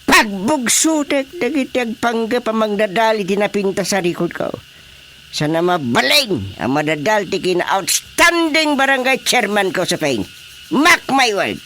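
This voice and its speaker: A man playing an excitable, boastful older village chairman in a comic radio drama, with exclamatory delivery. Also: high voice, a little slow, slight background noise, full character voice. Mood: boastful, wistful. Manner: exclamatory, animated.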